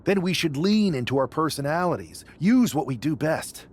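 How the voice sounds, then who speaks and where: An actor playing a confident, scheming male wrestler in a video game cutscene, talking in a measured, plotting way. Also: a little high, animated, darkish tone. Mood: sly, determined.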